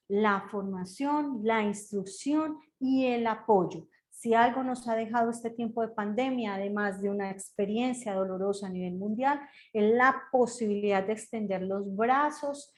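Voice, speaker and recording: A woman's voice: a little high, a little mellow. A woman speaking as a grief counselor in an interview, earnest and listing her ideas one after another. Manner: earnest, lists ideas in series. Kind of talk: explaining in an interview.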